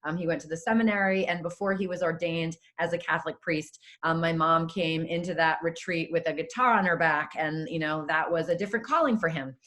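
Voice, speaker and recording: A woman's voice: low voice, fairly even intonation, brisk, few pauses, fairly bright tone. A woman in her forties from southeastern New England giving a conversational webinar talk, with fillers like um and you know. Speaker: webinar presenter. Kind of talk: personal storytelling presentation.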